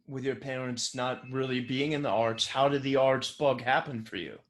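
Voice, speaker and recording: A man's voice: mid-range voice, fairly even intonation, normal speed. A man hosting an interview podcast from his city apartment, talking in an eager, effusive way with many "like"s. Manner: enthusiastic, effusive praise, many fillers like "like". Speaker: podcast host. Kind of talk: casual interview chat.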